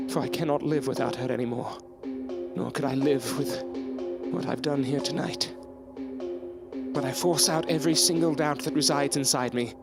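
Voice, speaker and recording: An actor playing a frantic, lovesick mad scientist in an audio drama, with a melodramatic delivery. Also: male voice, medium pitch, close to an everyday voice. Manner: melodramatic, swinging from hushed monologue to outbursts. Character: frantic, lovesick mad scientist reviving his dead beloved. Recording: loud music under the voice.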